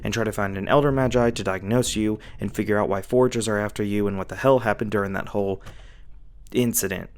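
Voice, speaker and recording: A man's voice: lowish voice, fairly even intonation, fast talker, lightly acted. A man playing a big, friendly young werewolf classmate in an ASMR roleplay, rambling casually and eagerly, a little dim. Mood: upbeat, explaining. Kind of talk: ASMR roleplay addressed to the listener.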